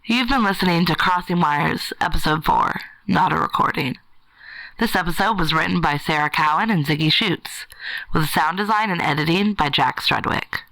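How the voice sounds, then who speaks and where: A woman's voice: deep voice, moderate pace, vocal fry, fairly bright tone, dry recording, poor audio. A woman reading scripted podcast credits as an announcer.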